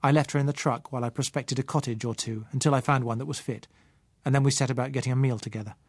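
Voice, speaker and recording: A man with a British accent reading a novel in the first person as an audiobook narrator, in a measured, literary way. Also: medium pitch, fairly even intonation, no echo.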